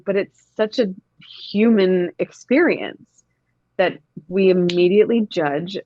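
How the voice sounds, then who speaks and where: A woman's voice: fairly low pitch, a little mellow, dry recording, dull audio. A woman in her thirties, an actor talking in a casual interview, reflective and emphatic. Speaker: actor, podcast guest.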